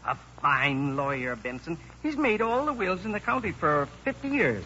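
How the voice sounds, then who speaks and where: A rambling old small-town lawyer in a vintage radio play. His voice is fairly high and sharp, hesitant and nostalgic, on a dull, hissy recording. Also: big pitch swings, normal speed. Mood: reminiscing. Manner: hesitant, meandering. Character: elderly country lawyer, kindly, rambling, nostalgic. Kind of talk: 1940s radio acting.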